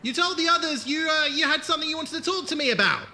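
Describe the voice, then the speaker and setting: A man's voice: very high voice, animated, fast, pushed and bright, a little hiss or hum, heavily acted. A man playing a hesitant, earnest wanderer haunted by an old war, in a fan audio drama. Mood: awkward, flustered.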